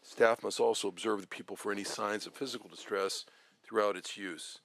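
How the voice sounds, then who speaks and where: A man presenting a bill at a legislative committee hearing, reading prepared remarks in a measured way. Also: on the low side, steady tone, vocal fry, fairly bright tone, no echo. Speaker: state senator presenting a bill. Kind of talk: formal committee testimony.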